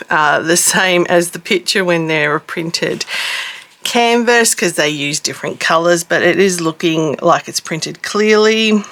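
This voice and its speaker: A middle-aged woman hosting a casual craft unboxing, her voice rough and husky from a cough, chatty and self-deprecating. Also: on the low side, fairly bright tone. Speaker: cross-stitch vlogger host.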